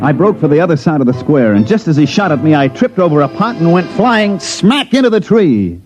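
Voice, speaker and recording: An American actor playing a wry, brash special agent telling his adventure in 1950s radio style, with a husky voice. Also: on the high side, very animated, a little fast, music in the background. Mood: wry, relieved. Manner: wry, informal storytelling. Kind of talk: 1950s radio acting, first-person narration. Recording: dull, muffled old radio broadcast.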